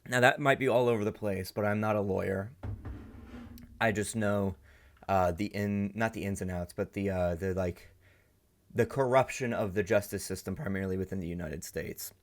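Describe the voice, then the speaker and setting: A man's voice: fairly low pitch, fairly even intonation, moderate pace. A young man hosting a reaction video, commenting casually and rambling as he thinks aloud. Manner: rambling, many fillers and restarts, thinking aloud. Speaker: reaction channel host.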